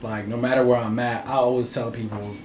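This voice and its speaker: A man's voice: mid-range voice, flat delivery, fairly quick. A man from Bridgeport, Connecticut, talking as a music producer guest in a laid-back, rambling interview, full of filler phrases. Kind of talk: casual interview chat. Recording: roomy echo, faint ambient noise.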